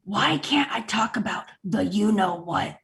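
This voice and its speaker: A woman's voice: mid-range voice, lively intonation, a little slow, creaky, a bit bright. A woman playing a disorganized, exasperated female store manager in a comedy table read. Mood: insistent, upbeat. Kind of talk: virtual table read of a sitcom script.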